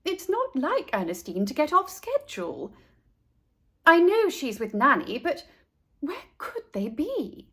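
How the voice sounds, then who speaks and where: A woman's voice: sits high, wildly swooping pitch, a little slow, a bit bright, voice pushed up, heavily acted. A woman voicing a fretful, frazzled storybook mother who calls out anxiously. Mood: worried. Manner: calling out anxiously. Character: fretful, frazzled mother searching for her daughter.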